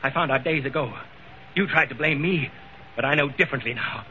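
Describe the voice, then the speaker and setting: An actor playing a suspicious, arrogant wealthy husband in theatrical, accusing old-time radio drama style. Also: male voice, mid-range voice, brisk, bright, forceful tone, close to an everyday voice. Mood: accusing, indignant. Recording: dull, hissy old radio recording.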